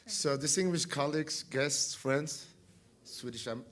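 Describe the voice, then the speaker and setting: A man's voice: mid-range voice, a little slow, fairly bright tone. A man in his thirties, a native Armenian speaker, giving an earnest, plain-spoken speech as a member of parliament on a conference panel. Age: 30s. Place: conference hall.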